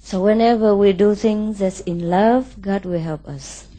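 A woman from Vietnam giving a spiritual talk in short, simple English phrases, pausing so an interpreter can translate. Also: medium pitch, warm tone. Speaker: spiritual teacher.

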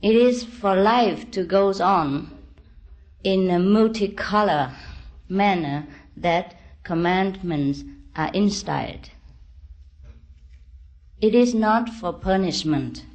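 Slow-speaking woman giving a spiritual discourse. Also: medium pitch, expressive. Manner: deliberate, halting phrasing with gentle reassurance. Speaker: spiritual teacher.

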